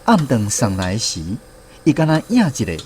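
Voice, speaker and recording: A man with a Taiwanese accent narrating a scripted radio drama as a grown son, reflective and expressive. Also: medium pitch, normal speed, noisy background. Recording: music behind the voice.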